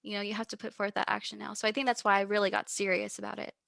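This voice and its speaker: A young woman with a Texas accent, a musician chatting as a guest in a relaxed interview, bubbly and giggly. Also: mid-range voice, rapid-fire, creaky, no room sound, clean studio sound. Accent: Texan. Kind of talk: casual interview chat.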